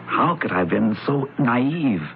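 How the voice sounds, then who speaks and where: An actor playing a courteous, naive, wounded son in an old-time radio drama. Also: male voice, mid-range voice, animated, a little slow, vocal fry. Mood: hurt, weary. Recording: tinny, noisy radio drama recording.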